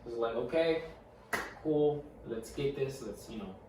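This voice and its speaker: A man's voice: mid-range voice, a little slow. Stuttering young man telling stories, echoey room. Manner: animated storyteller, stutters and repeats words. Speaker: podcast co-host. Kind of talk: casual podcast chat. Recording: echoey room, mic far off, faint noise.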